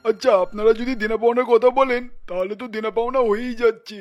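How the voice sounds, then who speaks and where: Guy doing a middle-aged cartoon husband, really high voice for a man, teasing, faint music behind. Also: fairly even intonation, brisk, lo-fi sound. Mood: jovial. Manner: joking, teasing. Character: wry middle-aged husband and father of groom.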